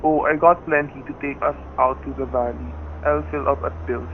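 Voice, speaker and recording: An actor playing a plainspoken middle-aged husband who narrates a suspense story in old-time radio style, with a folksy, colloquial manner. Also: male voice, medium pitch, fairly even intonation, moderate pace, narrow, tinny audio, a little hiss or hum, lightly acted. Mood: easygoing. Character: weary, plainspoken middle-aged husband telling his ordeal. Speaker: narrator and lead character. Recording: dry, band-limited old radio recording.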